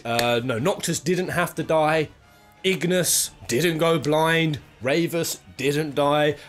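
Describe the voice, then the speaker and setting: A British man giving casual, jokey top-ten video game commentary, rambling and thinking aloud as he goes. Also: on the high side. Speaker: video game countdown host.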